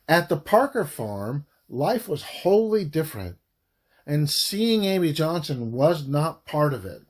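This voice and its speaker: A man narrates historical fiction for an audiobook at a very slow pace. His voice sits a little high and swings widely in pitch. He tells the story deliberately and dramatically, leaving pauses between phrases. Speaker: author reading own book aloud.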